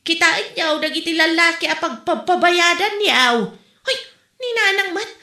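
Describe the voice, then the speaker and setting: A woman acting lines in a comedic audio drama, in an animated, teasing manner. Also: very high voice, normal speed, roomy sound.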